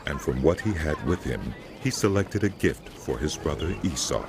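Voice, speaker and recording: A man narrating a scripted Bible drama in a solemn, measured storytelling manner, with music behind him. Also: low voice.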